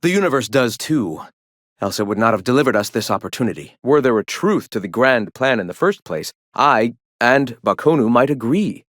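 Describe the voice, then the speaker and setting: An actor playing a pompous, serene cult leader in a video game, speaking in a lofty, theatrical, preacherly way with formal diction. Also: male voice, mid-range voice, big pitch swings, moderate pace, fairly bright tone, no room sound, clean studio sound. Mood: earnest, preaching. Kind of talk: video game character dialogue acting.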